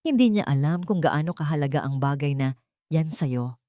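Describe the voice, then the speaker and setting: A woman's voice: deep voice, expressive, moderate pace, mellow, no room sound, studio quality. A woman narrator voicing a scheming, wealthy woman in a story, sweetly insincere and pleading to seem innocent. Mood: false pleading. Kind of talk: story character dialogue.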